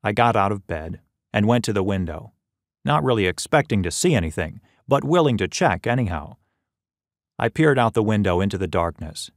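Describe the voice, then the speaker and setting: A man reading scripted audiobook narration in a measured, expressive storytelling manner, close on the microphone. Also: fairly low pitch, no room sound. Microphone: close studio microphone.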